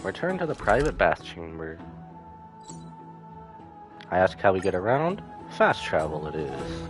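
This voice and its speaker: A man's voice: low-pitched, very animated, measured pace. A man live-streaming a story game, reading dialogue aloud and adding casual asides. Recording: music behind the voice.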